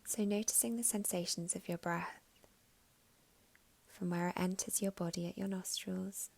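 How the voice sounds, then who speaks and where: A woman guiding a meditation in a soft, soothing, unhurried way with long pauses, her voice breathy and slipping toward a whisper at phrase ends. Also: lowish voice, fairly even intonation. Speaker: meditation guide. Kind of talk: guided meditation narration.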